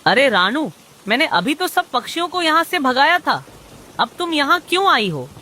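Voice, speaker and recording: Woman voicing a high, bright greedy male crow. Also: sing-song, moderate pace, exaggerated voice. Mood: suspicious, calculating. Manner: blunt, bargaining, dismissive. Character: greedy, grumbling male crow who sells mangoes. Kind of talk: animated children's moral story dialogue.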